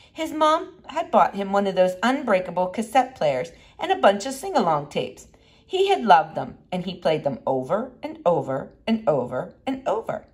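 Female reader for children's fiction: expressive, lively intonation, clear narrative emphasis. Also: mid-range voice. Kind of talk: read-aloud children's storybook narration.

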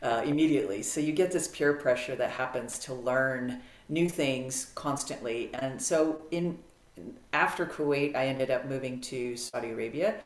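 A middle-aged American woman talking as a podcast guest on a video call, animated and clear with frequent ums. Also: rumbling low, moderate pace. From United States. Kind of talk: casual interview storytelling. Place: home office, video call. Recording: roomy video-call audio.

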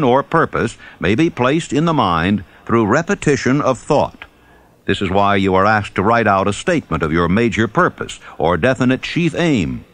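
A man reading a self-help audiobook as scripted narration, with emphatic, measured phrasing. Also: mid-range voice, a bit bright, no echo.

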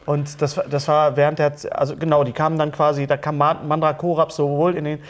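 A middle-aged man hosting a casual fan talk show, jokey and animated as he asks questions in a small studio. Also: a little high, fast. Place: small indoor studio set at a table.

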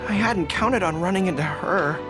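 An actor playing an earnest, easily flustered young dog-eared general in a video game. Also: male voice, high voice, moderate pace, close to an everyday voice. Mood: uneasy, earnest. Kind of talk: acted video game dialogue. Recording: music behind the voice.

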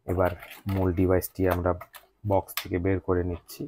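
A man's voice: low voice, monotone, normal speed, mellow, a little hiss or hum. A man with a Bangladeshi accent narrating a scripted product unboxing review as the channel's host.

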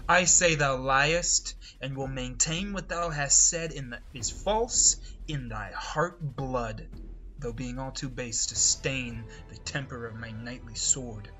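A man's voice: mid-range voice, takes it slowly, pushed and bright, soft background music. An actor playing a haughty, indignant young nobleman, scornful and declamatory in a Shakespearean reading. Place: indoors, remote video call.

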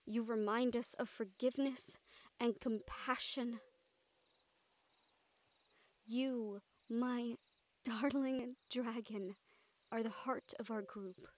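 A woman's voice: fairly high pitch, fairly even intonation, slow, long pauses, darkish tone. A woman acting a caring, encouraging siren-like mentor in an intimate audio roleplay, with a tender, earnest manner. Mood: tender, reassuring.

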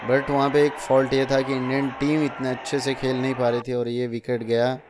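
A man's voice: medium pitch, monotone, normal speed, noisy background. A young man narrating his daily vlog in a chatty voice-over, often addressing viewers as friends. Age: young adult. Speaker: vlogger.

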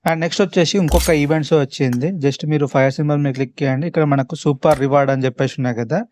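A man's voice: mid-range voice, steady tone, rapid-fire, darkish tone, a little hiss or hum. A man from India with a Telangana Telugu accent, giving a casual, instructional walkthrough as a gaming YouTuber.

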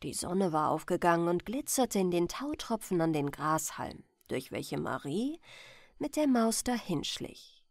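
A woman reading a bedtime fairy tale as an expressive, gentle audiobook narrator. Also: lowish voice, a little slow, no room sound. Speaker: storyteller, audiobook reader.

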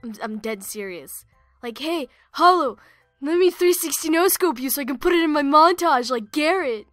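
A woman's voice: high voice, animated, normal speed, a bit bright, dry recording, clean studio sound, faint music behind. A young woman amateur voice actor rambling casually between takes, saying "like" constantly.

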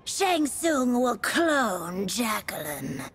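A feral monster woman from a fighting game, high and swooping. She speaks with theatrical, proud menace, drawing out her threats very slowly. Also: very animated, a little hiss or hum, full character voice. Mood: gloating. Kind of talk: video game fighting banter.

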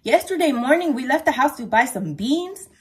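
Tiny chihuahua amigo female reader, male, storybook style, high and very animated, easygoing gang member. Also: normal speed. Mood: earnest. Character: small, laid-back male chihuahua gang member telling his tale. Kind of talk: children's storybook character voice.

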